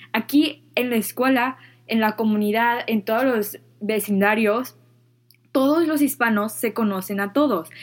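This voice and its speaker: A young adult woman with a Mexican accent, telling personal stories in a casual, slangy, expressive and giggly way, like a vlogger. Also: a little high. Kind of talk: casual chatty storytelling, slangy.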